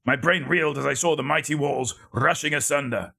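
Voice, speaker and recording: A man narrating a gothic story as a dramatic reading, theatrical and measured, with deliberate phrasing. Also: a little high, creaky, pushed and bright.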